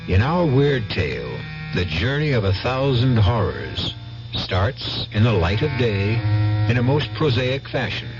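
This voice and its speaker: A man hosting and narrating a radio drama in a deliberate, theatrical scripted style. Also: mid-range voice, sing-song. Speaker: host and narrator. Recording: muffled old radio broadcast with music.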